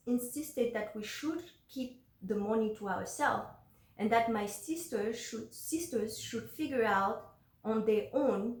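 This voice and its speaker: A young woman from Benin, a native French speaker, reading a personal letter aloud in a measured, earnest, confessional way. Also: fairly high pitch, echoey room, rough recording. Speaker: journal vlogger.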